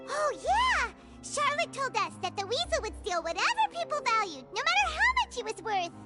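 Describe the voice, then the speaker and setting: A woman voicing a small, chirpy, childlike fairy companion in a video game, eager and kind, with a squeaky voice. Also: very animated, moderate pace, pushed and bright, soft background music, heavily acted. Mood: excited. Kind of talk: English video game voice acting.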